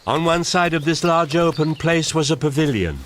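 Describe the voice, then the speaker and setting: A man narrating a children's story in a measured, descriptive, expressive way. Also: on the high side, slight background noise. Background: music.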